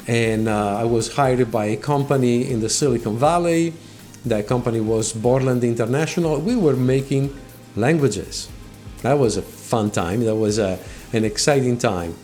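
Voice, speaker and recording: A man's voice: medium pitch, warm tone. A middle-aged man from Italy with an Italian accent, hosting his own tech channel and speaking earnestly to viewers, repeating words as he goes. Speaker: channel host. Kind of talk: talking to camera, motivational explainer. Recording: faint music behind voice.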